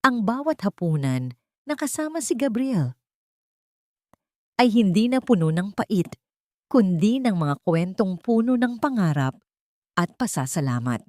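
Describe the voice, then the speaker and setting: A woman reading a scripted romantic story as narrator, in a measured, solemn and sentimental way. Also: medium pitch, very animated, darkish tone, no echo, clean studio sound.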